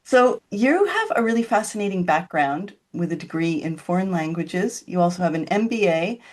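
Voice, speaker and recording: A woman's voice: fairly low pitch, lively intonation, normal speed. A woman hosting a podcast interview, friendly and chatty, asking long questions. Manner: friendly, lightly laughing, asks long questions. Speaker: podcast host.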